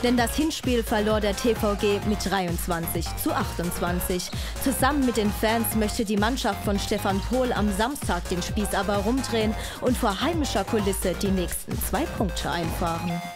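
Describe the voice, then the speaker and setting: A woman's voice: mid-range voice, normal speed, hardly any pauses. A woman reading a scripted sports news voice-over with an upbeat, punchy delivery. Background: loud backing music.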